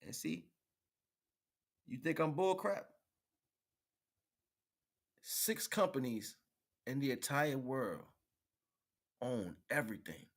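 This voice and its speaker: A man giving a rambling, informal spiritual monologue, preaching in an animated way with repeated phrases and slangy check-ins with his listeners. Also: mid-range voice, takes it slowly, creaky, pauses often. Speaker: spirituality vlogger, livestream host.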